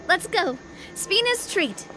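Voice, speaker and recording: A woman's voice: very high voice, sing-song, slow pace, pushed and bright, slight background noise, exaggerated voice, low-quality recording. An actress playing a cheerful, outgoing young woman in an anime fantasy game. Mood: cheerful, rallying. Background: music.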